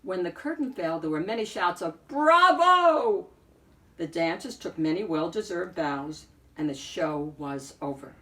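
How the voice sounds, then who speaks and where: A woman reading a picture book aloud as an expressive narrator, phrasing deliberately with emphatic swells. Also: low-pitched, far from the mic. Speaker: picture book reader and host.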